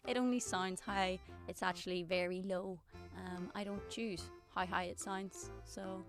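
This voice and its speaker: A woman's voice: fairly low pitch, steady tone, a little slow, loud music behind the voice. A woman in her twenties casually reading out comments and answering them in a sarcastic, self-mocking way. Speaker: singer and YouTuber.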